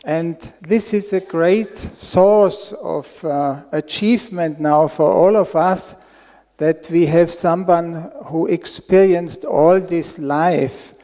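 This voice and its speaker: Slow, mellow Austrian man. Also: a little high. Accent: Austrian German. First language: German. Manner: slow and careful, with fillers. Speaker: event host asking a question. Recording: echoey hall.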